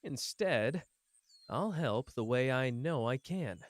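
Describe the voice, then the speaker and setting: A man's voice: mid-range voice, lively intonation, takes it slowly, no echo. A man playing a cocky, playful young sorcerer who teases and cares, speaking smugly and intimately to the listener in an ASMR roleplay. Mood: gentle, perceptive. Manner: teasing, smug, drawling, intimate. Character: cocky, playful young male sorcerer, teasing but caring.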